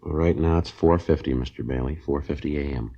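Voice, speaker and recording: A very deep-voiced, clipped American detective. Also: male voice, fairly quick, warm tone. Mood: patient. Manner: clipped, polite, businesslike. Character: calm, courteous, methodical male police detective. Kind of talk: 1950s radio crime drama acting. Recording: dull old 1950s radio recording.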